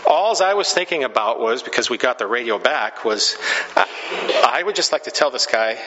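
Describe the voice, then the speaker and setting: A man's voice: medium pitch, animated, normal speed, noisy background. Guy pastor telling funny stories on himself, long church echo, kinda dull muffled sound. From Pacific Northwest. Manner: self-deprecating, chatty, laughs at his own stories. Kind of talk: storytelling sermon.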